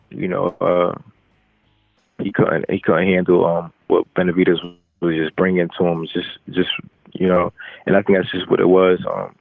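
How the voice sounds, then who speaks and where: A young man, a boxer, giving casual, halting interview answers with repeated phrase starts over a telephone. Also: low-pitched, creaky, no echo. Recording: narrow, tinny phone line.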